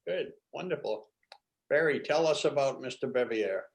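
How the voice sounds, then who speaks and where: A man hosting a casual video call chat, welcoming people in a relaxed, chatty way. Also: mid-range voice, moderate pace, vocal fry. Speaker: host.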